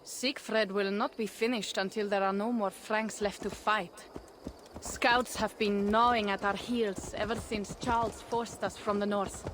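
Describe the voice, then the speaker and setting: A woman's voice: medium pitch, steady tone, unhurried, a bit bright, slight background noise, lightly acted. An actress playing a proud, restless young Viking warrior woman in a video game, speaking in an earnest, blunt way. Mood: troubled, restless. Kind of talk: video game cutscene acting.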